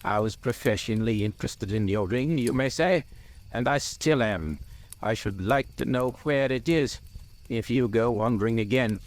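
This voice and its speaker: A man voicing a grave old male wizard in a storybook, stern and patient, with a quiet, measured manner. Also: medium pitch, lightly acted. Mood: grave, reasoning.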